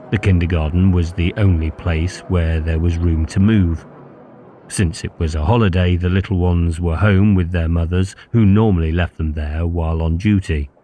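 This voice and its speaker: Deep, dark-voiced male audiobook narrator. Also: slight background noise. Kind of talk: scripted literary narration.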